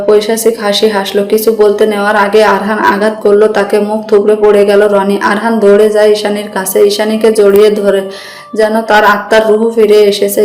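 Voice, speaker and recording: A woman's voice: medium pitch, a little mellow. A woman narrating a dramatic scripted story. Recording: roomy echo with music bed.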